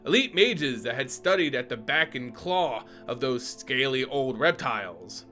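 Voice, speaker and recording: A man voicing a weary, irritable exiled male wanderer in a fantasy story, gruff and terse. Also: mid-range voice, big pitch swings, measured pace, sharp tone, faint music behind, pitched below the performer's own voice. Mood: sardonic.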